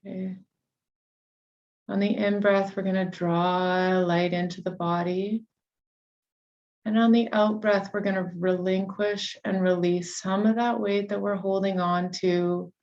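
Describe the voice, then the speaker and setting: A woman leading a guided meditation, giving soothing, unhurried instructions with long pauses between phrases. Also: fairly low pitch, a little mellow, dull audio.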